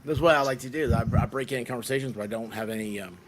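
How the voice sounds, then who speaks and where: A man's voice: medium pitch, fast talker. A man hosting a casual group chat, speaking hesitantly with many fillers and restarts. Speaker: host. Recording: loud background noise.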